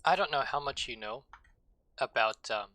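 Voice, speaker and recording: A man's voice: mid-range voice, sing-song, moderate pace, creaky, bright, forceful tone. A man in casual gaming chat, joking and rambling with playful, absurd asides. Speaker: streamer co-host.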